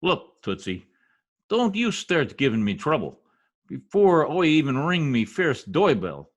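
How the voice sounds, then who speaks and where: A man's voice: fairly high pitch, very animated, measured pace. A man playing a folksy, self-important wisecracking husband in an old-time radio comedy, talking in slangy bluster. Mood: grumbling eagerness. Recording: home video-call recording.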